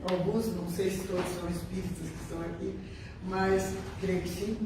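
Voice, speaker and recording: A woman with a southern Brazilian accent from Rio Grande do Sul tells a personal story informally to a group, repeating words for emphasis in an animated way. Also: lowish voice, brisk. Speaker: spiritist medium giving testimony. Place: echoey meeting room with a group. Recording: very echoey room, distant mic, faint noise.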